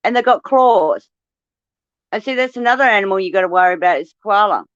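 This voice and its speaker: An Australian woman in casual chat, blunt and quick to swear as she tells her stories. The sound is dry and close, and a bit dull. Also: medium pitch, no room sound. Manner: blunt, swears freely, animated storytelling.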